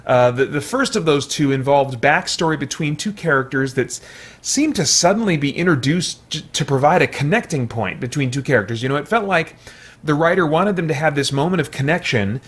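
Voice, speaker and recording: A man giving a casual, unscripted movie review, thinking out loud in a rambling, hesitant way with repeated false starts. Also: fairly high pitch, lively intonation, fairly quick, slightly rough. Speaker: movie reviewer, video host.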